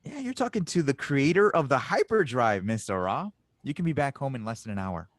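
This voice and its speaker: A man's voice: medium pitch, big pitch swings, brisk, dry recording, studio quality, pitched above the performer's own voice, lightly acted. A man playing an earnest, teasing inventor in a fan audio drama table read. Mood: playful, upbeat. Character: warm, teasing male inventor, reunited with an old friend.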